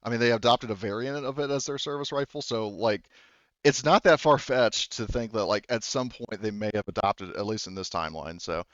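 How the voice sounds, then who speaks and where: A man's voice: fairly low pitch, brisk, fairly bright tone, dry recording, muffled recording. A man casually narrating and explaining as game master of a tabletop roleplaying game, wandering into asides.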